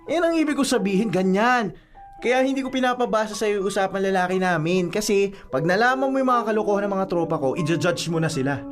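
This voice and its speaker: A man's voice: sits high, expressive, brisk, faint music behind. A man playing an exasperated young boyfriend in a radio drama, arguing and pleading in colloquial speech with a Filipino accent. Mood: defensive.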